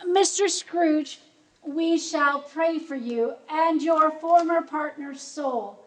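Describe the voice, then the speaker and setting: A woman's voice: high voice, takes it slowly, rough recording. A woman in a radio play voicing a meek, pious nun who speaks politely and formally. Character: meek, earnest, pious charity-collecting nun. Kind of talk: stage radio drama acting.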